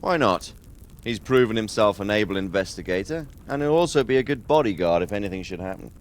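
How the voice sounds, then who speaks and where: A man's voice: lowish voice, animated, fairly quick, lightly acted. An actor playing a careful, skeptical medieval nobleman with a dry, questioning manner in a game cutscene. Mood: considering. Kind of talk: dramatic game cutscene acting.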